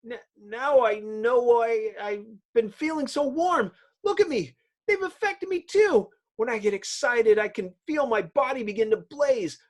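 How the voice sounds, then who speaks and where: A performer voicing an impulsive, excitable teenage boy with a wide-eyed, melodramatic way of talking in a comic-book drama. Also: medium pitch, unhurried, hardly any pauses, voice pushed up, lightly acted. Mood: astonished, excited.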